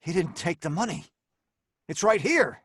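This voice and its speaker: A man's voice: sits high, big pitch swings, normal speed, pitched above the performer's own voice. Man acting a wry condemned young crook, radio drama. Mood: alarmed. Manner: wry, sardonic storytelling. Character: young male crook, condemned, wry first-person narrator. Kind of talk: old-time radio drama narration and acting.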